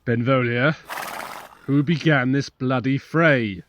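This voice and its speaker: A man's voice: mid-range voice, slow pace, highs cut off, a little hiss or hum, lightly acted. A man playing a stern, commanding prince passing judgement, formal and authoritative in a Shakespeare audio drama.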